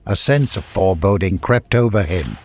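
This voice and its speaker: Lower-pitched man narrating a dramatic story. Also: expressive, moderate pace, faint music behind.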